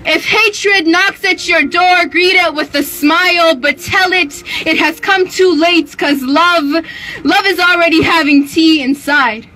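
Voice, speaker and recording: Teenage female spoken word poet, seventeen. Very high, bright voice, emphatic performed delivery. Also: measured pace, poor audio. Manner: impassioned, emphatic, declamatory.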